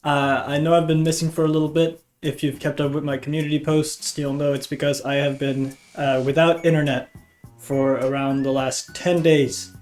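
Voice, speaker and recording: A man's voice: fairly high pitch, level tone, normal speed, darkish tone. A man from Norway with a slight cold chats casually to viewers as a YouTube host, in a hesitant, self-deprecating way. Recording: voice over background guitar music. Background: guitar music.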